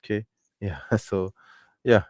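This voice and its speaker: A man's voice: on the low side, slow, a little mellow, no room sound, studio quality. A man hosting a livestream Q&A, chatting casually and filling gaps with "okay" and "yeah". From Malaysia. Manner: many affirming fillers, frequent "okay". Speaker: livestream host. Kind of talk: casual chat.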